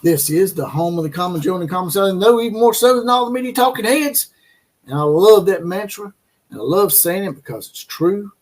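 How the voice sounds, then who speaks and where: A man's voice: sits high, lively intonation, brisk. A man hosting a college football commentary channel, ranting with crude, mocking opinions and frequent fillers and restarts.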